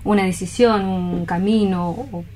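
A woman's voice: medium pitch, steady tone, unhurried, dark tone, rough recording. A woman sharing her thoughts as a panelist in a casual discussion. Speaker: panelist on a radio theatre programme.

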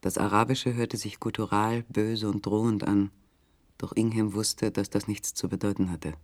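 An actor narrating a literary German radio play as a sober, measured third-person storyteller. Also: male voice, fairly low pitch, flat delivery, close to an everyday voice.

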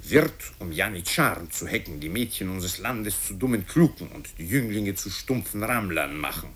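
A man's voice: deep voice, animated, moderate pace, fairly bright tone, slightly rough, full character voice. A man voicing a pompous politician who declaims self-righteous accusations with emphasis in a satirical radio story. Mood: accusing, righteous. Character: pompous male politician hurling accusations at his rival.

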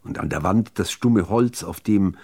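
A man reading literary prose as a radio narrator, in a measured, deliberate delivery with dramatic pauses. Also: low voice, a little mellow, slightly rough.

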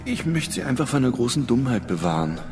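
A man's voice: medium pitch, sing-song, fast, darkish tone, soft background music. An actor playing a smug, menacing corrupt policeman with mocking politeness in a German radio drama.

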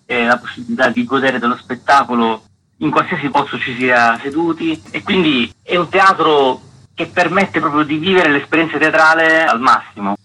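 A man's voice: a little high, normal speed, sharp tone, breathy edge, poor audio. A man speaking as a festival director, explaining things as a guest in a radio interview.

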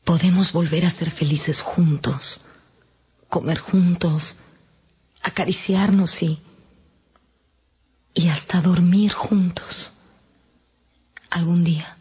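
A loving wife worn by worry. Her voice is very breathy and husky, slow, tender and hesitant. It is radio drama dialogue in slightly muffled sound over faint music. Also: lowish voice, steady tone, exaggerated voice. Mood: tender, afraid. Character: patient, worried, loving wife of a troubled man. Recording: dull lo-fi radio production.

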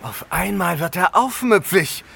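A callous thug in a radio horror play. His creaky, slightly high voice is sarcastic and mocking, with clear ambient noise around it. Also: expressive, measured pace, sharp tone, full character voice. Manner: sneering, sarcastic. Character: mocking, callous male henchman with a gun. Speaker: actor in a horror radio drama.

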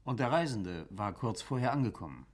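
Probing magistrate, lively intonation. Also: male voice, fairly low pitch, slightly rough. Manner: inquisitive, brisk, formal. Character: probing, methodical magistrate questioning a witness. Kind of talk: German radio drama acting.

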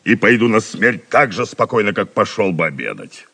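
A stubborn, food-loving Saxon noble speaks with a gravelly, forceful rasp. He is pompous and blunt, his voice ringing in a long hall reverb as in an audio play. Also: fairly low pitch, sing-song, normal speed, heavily acted. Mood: stolid. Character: stubborn, stolid, ever-hungry Saxon lord. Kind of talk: audio drama acting. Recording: long hall reverb, faint music underneath.

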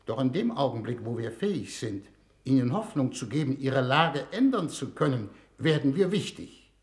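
A man's voice: mid-range voice, sing-song, moderate pace, a little mellow. An actor in a German-language 1960s radio drama playing a shrewd, commanding statesman who speaks formally and persuasively. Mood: urging, persuasive. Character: shrewd, smooth-talking male statesman leading a Venus mission.

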